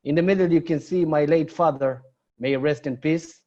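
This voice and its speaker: A man's voice: on the high side, normal speed, mellow. A man in his forties from Israel with an Israeli accent, giving a personal lecture with slides over an online call. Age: 40s. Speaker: guest lecturer. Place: online webinar from Beersheba. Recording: slightly dull online call audio.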